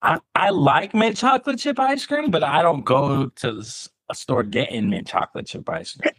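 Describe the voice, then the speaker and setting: A young man from Arizona, a rapper guest on a podcast, answering in a laid-back, halting way. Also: fairly high pitch, expressive, fairly bright tone, no room sound. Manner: laid-back, hesitant, lots of restarts. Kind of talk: casual interview answers.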